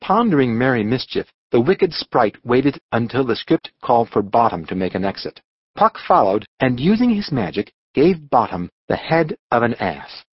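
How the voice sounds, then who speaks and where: A man narrating a scripted storybook audiobook with dramatic, expressive delivery. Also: mid-range voice, normal speed, breathy edge, dry recording, muffled recording.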